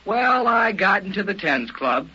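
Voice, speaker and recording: A breezy, reassuring seasoned hunter in a vintage radio play. His voice is rather high, animated and very rough. The old recording is dull. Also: a little slow, full character voice. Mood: breezy, encouraging. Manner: breezy, encouraging. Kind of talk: old-time radio drama acting.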